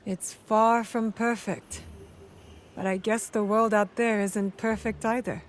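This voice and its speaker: A woman's voice: mid-range voice, steady tone, takes it slowly, soft background music, lightly acted. An actress playing a bold, spirited young woman warrior in a game drama, with a direct way of talking. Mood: thoughtful. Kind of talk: dramatic game cutscene acting.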